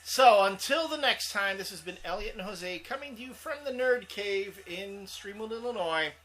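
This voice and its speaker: A man's voice: sits high, expressive, normal speed, a bit bright. A man, co-hosting a casual comics chat show, rambling with plenty of fillers. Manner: rambling, laughs often, many fillers. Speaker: co-host.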